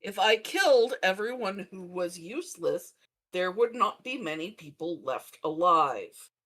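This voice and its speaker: A woman banters in character as a tabletop game player. Her voice sits on the low side and her manner is dry and sardonic. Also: expressive, a little slow, fairly bright tone.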